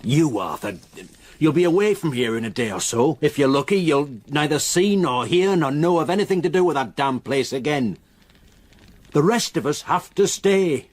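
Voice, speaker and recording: An actor playing a grave, reluctant local countryman in a radio drama, with a solemn, halting way of telling a dark story. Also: a little high, animated, no room sound. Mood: guarded, grave.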